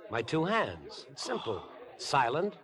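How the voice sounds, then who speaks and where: An actor playing a smooth, eccentric young man obsessed with murder in a 1950s radio drama, with a glib, insinuating charm. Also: medium pitch, huge pitch swings, a little slow, a crowd in the background. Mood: sly, insinuating. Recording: vintage 1950s radio broadcast with music.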